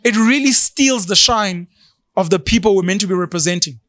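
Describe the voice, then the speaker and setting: South African man, 30s, talk co-host; animated, mocking, high register, dry studio. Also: brisk, dry recording. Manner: animated, mocking, slips into isiZulu. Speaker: podcast co-host. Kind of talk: opinionated casual chat.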